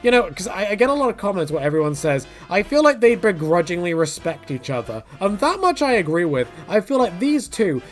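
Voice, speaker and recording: A man's voice: sits high, big pitch swings, fairly quick, hardly any pauses, faint music behind. A man giving casual, rambling opinion commentary in a jokey, conversational manner, often slipping into mock quotes. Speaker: video creator giving commentary.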